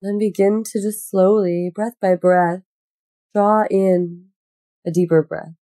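Slow, mellow woman guiding meditation. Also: mid-range voice, fairly even intonation, dry recording. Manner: gentle, unhurried, soothing instruction with long pauses. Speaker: yoga nidra meditation guide. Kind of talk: guided meditation.

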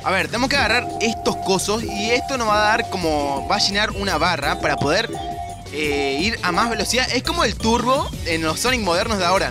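A young man with a Latin American Spanish accent giving casual, excitable, repetitive let's play commentary as a gaming host. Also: high-pitched. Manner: excitable, repetitive, mild swearing. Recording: loud game music behind voice. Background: video game music and sounds.

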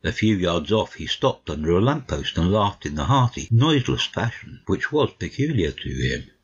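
Deep, husky male story narrator. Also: sing-song, moderate pace, lo-fi sound. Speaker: audiobook narrator.

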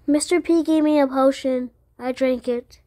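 Woman voicing a high-pitched, self-sacrificing cartoon rabbit. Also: steady tone, normal speed, warm tone, no echo, studio quality, lightly acted. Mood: resigned, urgent. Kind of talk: amateur game cutscene voice acting. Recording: music behind the voice. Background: music.

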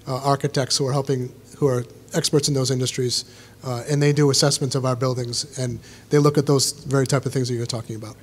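A man answering safety questions from a podium at a town meeting, in a brisk, reassuring manner. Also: medium pitch, level tone, slightly rough. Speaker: school safety official. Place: school auditorium stage.

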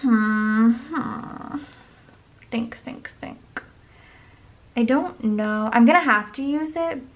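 A young woman with a voice going hoarse chats casually while she decorates her planner at a desk at home. She rambles and counts under her breath as she works. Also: a little high, extremely slow, dull audio. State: losing her voice. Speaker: planner vlogger. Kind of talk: casual plan-with-me chat. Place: home desk, camera overhead.

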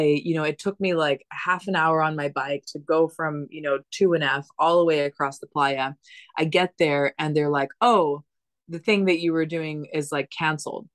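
A woman's voice: low voice, no echo. Chatty woman on a dry video call. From Dominican Republic. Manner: chatty, lots of "like" and restarts, animated hand gestures. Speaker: podcast guest. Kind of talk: casual storytelling conversation. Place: living room at home. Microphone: laptop webcam on video call.

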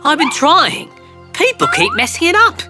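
A chatty cartoon alien. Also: female voice, very high-pitched, over music, exaggerated voice. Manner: quick, excitable, talkative. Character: friendly green alien, hurried and chatty. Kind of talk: cartoon voice acting.

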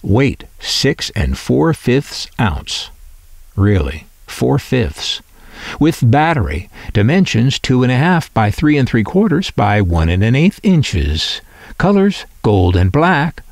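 A man presenting and reading catalog copy aloud as scripted narration, in a deliberate, itemized way. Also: mid-range voice, a little mellow, dry recording, studio quality.

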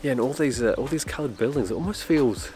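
A man in his thirties vlogging on a trip, chatty and excitable, with bursts of loud enthusiasm. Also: medium pitch, fast talker, soft background music. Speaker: travel vlogger. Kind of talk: casual travel vlog chat. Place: outdoors on a windy island and village streets. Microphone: camera mic, handheld selfie framing.

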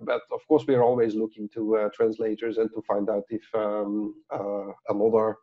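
A man's voice: on the low side, little pitch movement, normal speed. A middle-aged man whose first language is Dutch, a book author talking casually on a video call, rambling with many fillers and restarts. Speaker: author and guest. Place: office with branded backdrop. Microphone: lapel mic on video call. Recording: dull lo-fi video call audio.

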